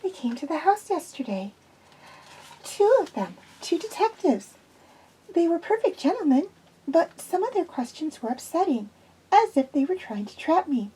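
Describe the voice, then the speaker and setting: A woman voicing a nervous, jumpy young woman who speaks haltingly. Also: very high voice, sing-song, dark tone, pitched above the performer's own voice, low-quality recording. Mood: anxious. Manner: anxious, halting.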